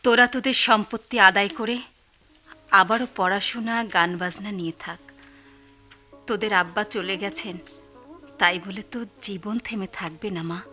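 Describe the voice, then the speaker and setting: Plaintive, bright-voiced widowed mother. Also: mid-range voice, measured pace, pauses often, soft background music. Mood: resigned. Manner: resigned, plaintive. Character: helpless, sorrowful widowed mother. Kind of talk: Bengali radio play acting.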